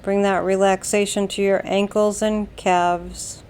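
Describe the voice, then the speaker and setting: A woman with an American accent leads a guided meditation, giving calm, soothing instructions in a deliberate way with long pauses. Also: medium pitch, a little hiss or hum. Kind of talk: slow guided meditation narration.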